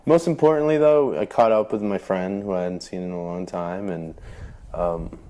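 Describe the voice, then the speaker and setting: A man's voice: low voice, normal speed, mellow. A man on a podcast, chatting casually and rambling with many fillers, a bit congested from a cold. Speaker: podcast co-host. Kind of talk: casual chat.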